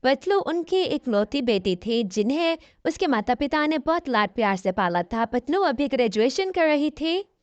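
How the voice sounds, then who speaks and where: A woman's voice: sits high, sing-song, moderate pace, no room sound. A woman narrating a scripted cartoon story as the storyteller. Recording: dull, lo-fi audio.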